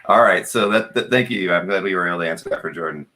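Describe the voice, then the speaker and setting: A man with a low-pitched voice hosts a casual live chat. He is agreeable and conversational. His sentences come out a bit haltingly as he feels his way to the point. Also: pushed and bright. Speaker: interview host.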